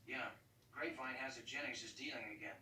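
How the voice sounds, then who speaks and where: Quick, sharp-voiced earnest ex-addict. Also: male voice, mid-range voice. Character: earnest, defensive young male ex-addict on parole. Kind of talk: TV crime drama dialogue. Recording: old TV audio, echoey, distant, faint noise.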